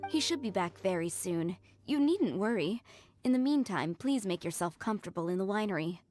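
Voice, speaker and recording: An actress playing a polite, formal and reassuring head maid in a video game. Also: female voice, medium pitch, animated, moderate pace, a little mellow, lightly acted. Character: courteous, composed female head maid of a winery. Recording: clear music behind the voice.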